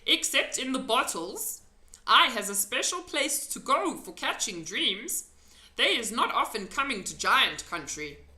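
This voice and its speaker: A woman puts on a big friendly giant from a storybook, earnest and full of wonder. The speech is slow and emphatic, in muddled folksy grammar, and swings widely in pitch. The room rings around the voice. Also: sing-song, pushed and bright, roomy sound, exaggerated voice. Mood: informative.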